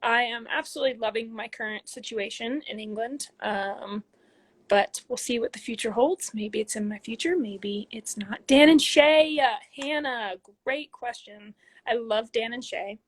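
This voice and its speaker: A woman in her twenties, speaking from a room at home into a phone, chats casually with many ums as she reads out viewer questions and answers them, sometimes dropping to soft asides. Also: a little high, moderate pace. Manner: reads comments aloud, chatty, frequent ums, drops into soft asides. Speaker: athlete hosting a live Q&A.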